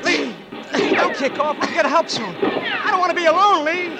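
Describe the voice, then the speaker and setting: An actor playing a tough young Marine gunner in a 1940s radio drama, shouting and emotional. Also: male voice, extremely high pitch, moderate pace, over loud music. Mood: defiant. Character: young male Marine gunner, brash, then blinded. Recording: noisy 1940s radio broadcast with battle sounds.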